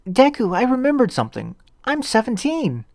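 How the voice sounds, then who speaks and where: A man voicing a gentle, shy seventeen-year-old girl in a fan fiction story, polite, hesitant and apologetic. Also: extremely high pitch, wildly swooping pitch, normal speed, gravelly, dry recording, studio quality, pitched above the performer's own voice, heavily acted. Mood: pleased. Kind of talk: fan fiction audio reading.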